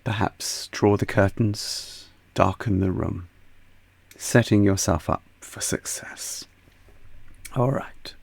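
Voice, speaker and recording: A man leads a guided yoga nidra meditation, his low voice gentle and soothing, with a gravelly edge. He speaks very slowly, letting each phrase settle, and at times his voice drops almost to a whisper. The recording is dry and close, with no room sound. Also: fairly even intonation, dry recording. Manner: soft, soothing, unhurried guidance.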